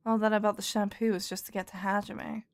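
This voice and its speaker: A woman's voice: mid-range voice, little pitch movement, fast talker, creaky, natural voice. Woman reading as a tough former gang girl. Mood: worried. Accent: mixed Scottish and Canadian. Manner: defiant, resolute. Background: game music.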